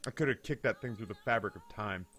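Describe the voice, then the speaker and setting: A man, telling a comedic story casually in an animated, hyperbolic, profane way as a streamer. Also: on the low side, quick pace. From United States. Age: 30s. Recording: music behind the voice. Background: background music.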